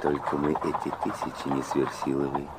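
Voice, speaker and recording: A man's voice: a bass voice, monotone, a little fast, heavy background noise. An actor playing a cynical, scheming young swindler in a radio drama, wheedling and mocking in his talk. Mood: scheming, gloating. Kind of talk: Russian radio drama acting.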